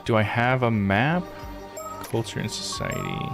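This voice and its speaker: A man streaming a visual novel, thinking out loud in casual live commentary as he reads and puzzles. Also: on the low side, huge pitch swings, measured pace, creaky, music in the background. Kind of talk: casual live game commentary, reading text aloud.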